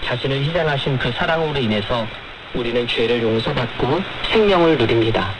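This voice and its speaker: A noisy shortwave broadcast carries a male pastor with a medium-pitched voice. He delivers his sermon in a formal, earnest manner, explaining as he goes. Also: expressive, fairly quick. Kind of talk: radio sermon.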